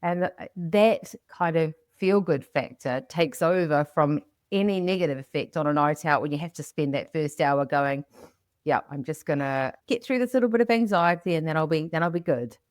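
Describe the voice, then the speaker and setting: A woman's voice: low-pitched, moderate pace, dry recording, clean studio sound. A woman hosting a podcast in casual, chatty style, telling anecdotes and acting out what people said. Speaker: podcast host. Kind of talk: casual, chatty interview talk.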